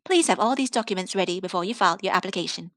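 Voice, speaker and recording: A woman reads prepared literary prose aloud in a mid-pitched voice. The sound is dry and close, with hardly any room in it. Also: expressive, rapid-fire, fairly bright tone, no echo. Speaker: reader of poetry and prose on a podcast. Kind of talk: scripted literary reading.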